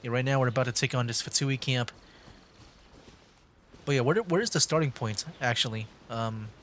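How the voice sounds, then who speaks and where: A man's voice: medium pitch, moderate pace, a bit bright, slight background noise. A man giving casual live commentary as he plays a video game, rambling and thinking aloud. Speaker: livestreamer playing a video game. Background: game music.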